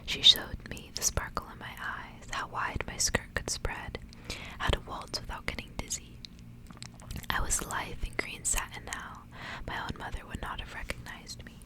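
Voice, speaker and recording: A woman's voice: normal speed, rough recording. Whispering woman reading a story, ASMR style. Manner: hushed, unvoiced whispering throughout. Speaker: ASMR reader.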